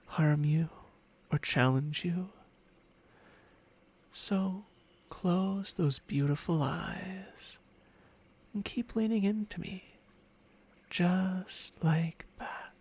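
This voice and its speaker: Casting: male actor for an ancient dragon familiar in ASMR roleplay. Unhurried, lighter-pitched male voice, breathy and teasing, smugly possessive yet doting. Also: a little mellow, exaggerated voice. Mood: coaxing, indulgent. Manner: slow, teasing, breathy murmurs slipping into whispers. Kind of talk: ASMR roleplay, intimate personal attention. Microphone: close-up microphone with spatial panning.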